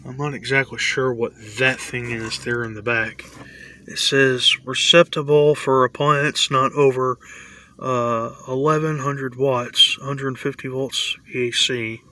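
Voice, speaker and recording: Man with flat, halting delivery. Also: medium pitch. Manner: tentative, halting. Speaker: hobbyist vlogger. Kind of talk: casual show-and-tell commentary.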